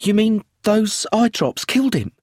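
A man voicing a nervous, frightened young widow in an audiobook, pitched high and strained. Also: big pitch swings, fast, a little mellow, no room sound, clean studio sound.

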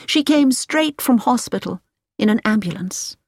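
A woman's voice: a little high, lively intonation, measured pace, dry recording, clean studio sound, pitched above the performer's own voice. A woman voicing a prim, disappointed middle-aged Englishwoman with an English accent, speaking in a raised voice in an audiobook.

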